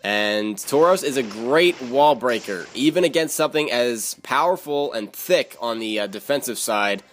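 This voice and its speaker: A man's voice: mid-range voice, expressive, normal speed, faint music behind. A man narrating his own video game battle as commentary, repeating words and phrases for emphasis.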